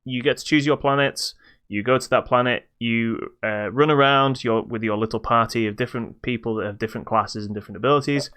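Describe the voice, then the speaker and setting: British man, clear voice, casual game review. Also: medium pitch, fairly even intonation, a little fast, fairly bright tone. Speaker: podcast host reviewing a video game. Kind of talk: casual podcast review chat.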